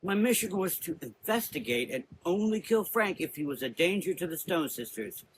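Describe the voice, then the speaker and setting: A man's voice: high voice, sing-song, moderate pace, a little hiss or hum, pitched above the performer's own voice, poor audio. A man voicing a tall, proud, defiant warrior woman of a sisterhood in a gothic audio drama, speaking earnestly. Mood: frustrated.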